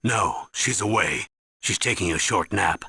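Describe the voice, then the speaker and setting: Very raspy, gruff colonel. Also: male voice, low-pitched, lively intonation, a little slow, bright, forceful tone, no room sound, clean studio sound, full character voice. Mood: shocked, concerned. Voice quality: raspy, gravelly. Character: gruff, evasive older male army colonel, troubled and defensive. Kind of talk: dramatic video game voice acting.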